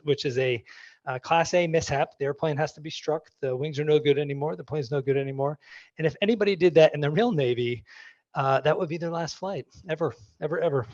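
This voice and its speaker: A man's voice: a little high, dry recording, highs cut off. A man, answering audience questions in a conversational way full of restarts. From Maine, US. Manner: conversational, many restarts and fillers. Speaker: guest speaker.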